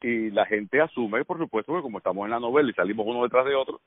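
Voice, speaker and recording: A man's voice: mid-range voice, fast, no room sound. Middle-aged Cuban man, actor guest, chatty storyteller, phone-line sound. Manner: lively storyteller, laughs often. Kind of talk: casual radio conversation.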